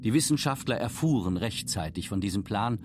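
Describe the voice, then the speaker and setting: A man's voice: lowish voice, animated, a little fast, background noise. A man reading scripted documentary narration in emphatic, declarative statements.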